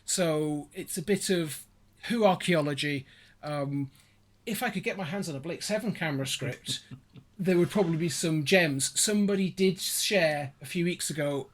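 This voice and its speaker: A man's voice: fairly high pitch, normal speed, fairly bright tone. A man speaking as a podcast guest in casual conversation, explaining things in detail. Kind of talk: casual chat.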